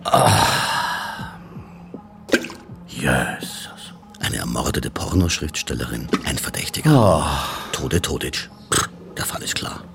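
An actor playing a weary middle-aged police inspector in a radio crime drama, with an Austrian accent and muttered sardonic asides. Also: male voice, very deep voice, expressive, takes it slowly, creaky, over music, exaggerated voice. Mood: grumbling to himself.

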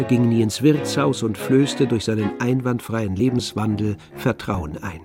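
Casting: male literary narrator for radio play, medium register, composed and measured, set against musical backing. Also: mid-range voice.

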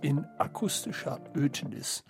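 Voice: mid-range voice, animated, measured pace, gravelly, soft background music. An actor playing a sober, questioning observer in a literary radio play, phrasing with deliberation. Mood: grave, questioning. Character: sober, questioning observer reporting on social unrest.

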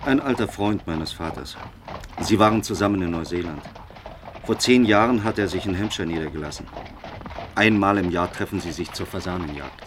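An actor playing a proud, earnest young gentleman, a well-bred son, in a radio drama. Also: deep voice, normal speed, background noise, natural voice. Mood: troubled, narrating.

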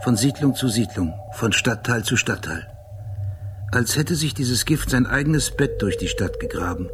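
An actor playing a detached, sober storyteller in a radio drama, narrating in a measured, precise, descriptive way. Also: male voice, medium pitch, expressive, noisy background, close to an everyday voice. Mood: ominous, measured.

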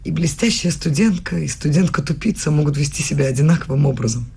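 An actress playing a proud, wounded young student in a radio play, with a low husky voice and pointed delivery. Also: female voice, fast talker, warm tone, full character voice. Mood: guarded, hurt. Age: young adult. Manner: pointed, challenging.

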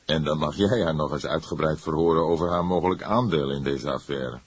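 A man voicing an older grey-haired police detective in an audiobook, calm, wry and disapproving, speaking in an unhurried, lecturing way. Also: very deep voice, breathy edge, dry recording. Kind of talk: audiobook character voice.

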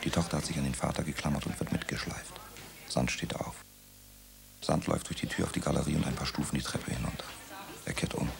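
A man narrating a radio drama in a calm, measured way. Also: a bass voice. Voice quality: gravelly.

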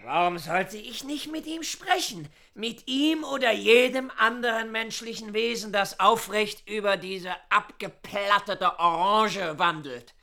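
Casting: proud, erratic young intellectual, radio drama. High-pitched, very sharp-edged voice, declaiming pompously. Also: male voice, unhurried, gravelly, few pauses, heavily acted. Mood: lofty, ironic. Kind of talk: theatrical radio drama acting.

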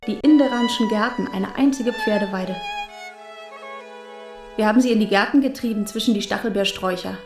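An actress playing a frank, unsentimental young woman recalling her post-war girlhood in a German radio play, with a slightly husky voice. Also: mid-range voice, fairly quick, long pauses, music in the background, close to an everyday voice. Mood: frank, defiant.

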